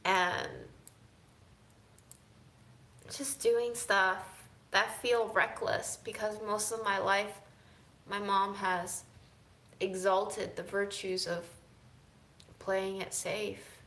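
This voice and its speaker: Slow, musing woman vlogger. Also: medium pitch, fairly even intonation, echoey room. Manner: slow, musing, drifting between soft and emphatic. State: fatigued. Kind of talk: casual reflective chat to camera.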